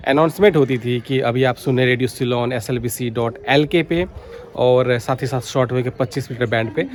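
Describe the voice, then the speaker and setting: A man giving informal, explanatory vlog commentary, going back over his points as he speaks. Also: medium pitch. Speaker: radio hobby vlogger. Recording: clearly noisy background.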